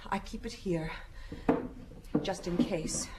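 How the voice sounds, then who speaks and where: A woman's voice: lowish voice, slow, heavy background noise. An actress in an audio drama playing an anxious, desperate woman confiding in the police. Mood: uneasy, confessing.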